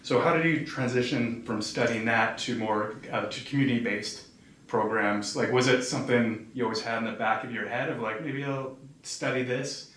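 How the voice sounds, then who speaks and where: A man's voice: medium pitch, steady tone, moderate pace, a bit bright. A man hosting an interview, asking questions in a casual, rambling way with many fillers. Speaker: podcast host. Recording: roomy, distant mic, faint noise.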